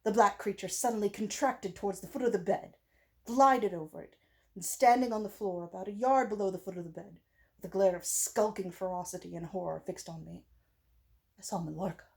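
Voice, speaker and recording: Woman, audiobook reader, gothic horror narration, middle pitch, dramatic pauses, hushed phrase endings. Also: gravelly.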